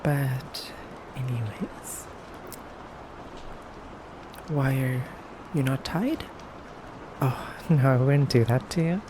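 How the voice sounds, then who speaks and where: A man playing a menacing, teasing male vampire captor in an ASMR roleplay, speaking softly and hesitantly. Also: medium pitch. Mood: calm, teasing. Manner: slow, hesitant, teasing, quietly threatening. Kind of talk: ASMR roleplay addressed to the listener. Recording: clearly noisy background ambience.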